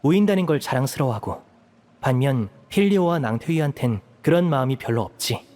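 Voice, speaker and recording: A man voicing a composed, protective young elder brother in an anime-style game, explaining things in a measured, serious way. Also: mid-range voice, expressive, a little mellow, close to an everyday voice. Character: composed young magician, protective eldest brother, earnest strategist.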